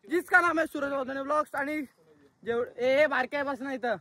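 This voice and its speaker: A man's voice: very high voice, normal speed, bright, forceful tone. A young man hosting a casual vlog among his friends, addressing viewers informally. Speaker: vlog host.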